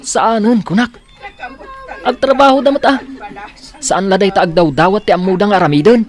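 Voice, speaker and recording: A man's voice: sits high, normal speed, close to an everyday voice. A man playing an irritable, haughty boyfriend with an Ilocano accent in a radio drama. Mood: scornful, irritated. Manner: grumbling, scolding. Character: irritable, haughty boyfriend, scornful of beggars and strangers. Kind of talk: Filipino radio drama acting.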